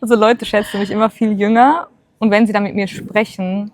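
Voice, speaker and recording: A woman's voice: mid-range voice, steady tone, brisk, darkish tone, dry recording. A woman in her late twenties talking earnestly and encouragingly in a casual chat, with many filler sounds. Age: 20s. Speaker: co-host.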